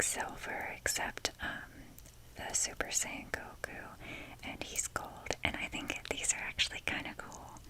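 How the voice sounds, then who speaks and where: A woman speaking in a soft breathy whisper, rambling casually and hesitantly with wry, self-deprecating humour in an ASMR show-and-tell style. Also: rough recording. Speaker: ASMR video creator showing a toy collection.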